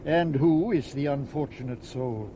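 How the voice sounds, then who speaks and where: An actor playing a suave, coaxing British gentleman employer in a radio drama. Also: medium pitch, a little slow, noisy background, close to an everyday voice. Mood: musing. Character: smooth-talking, lonely gentleman employer courting his secretary.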